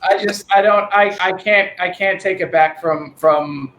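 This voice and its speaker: A man with a high, thin voice and a Delaware County accent, a musician guest on a remote podcast call, rambling and restarting often. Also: a bit bright, echoey room, dull audio, slight background noise. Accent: Delaware County, Pennsylvania. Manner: rambling, halting with many restarts. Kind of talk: casual remote podcast chat. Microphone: dynamic vocal mic over a call.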